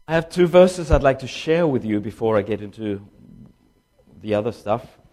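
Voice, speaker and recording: An older man with a Danish accent, a guest speaker telling his testimony in a conversational sermon and slowing down to read scripture. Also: mid-range voice, lively intonation, darkish tone. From born in China to Danish parents, raised in Tanzania.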